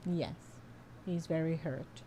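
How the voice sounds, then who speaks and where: A radio host, a middle-aged woman, talks in a low voice. She takes her time over every phrase. Her chat is easygoing and often breaks into laughter. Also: slow, crowd noise.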